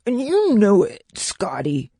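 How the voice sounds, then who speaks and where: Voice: mid-range voice, animated, a little slow, a little mellow, no room sound, studio quality. A narrator playing a cocky, scrappy young man of the underworld in an audiobook, snappy and defiant.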